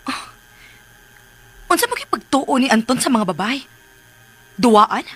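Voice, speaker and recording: A woman playing a wounded but resolute young mother in a radio drama, with a firm, measured delivery. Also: a little high, big pitch swings, breathy edge, heavily acted. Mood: bitter.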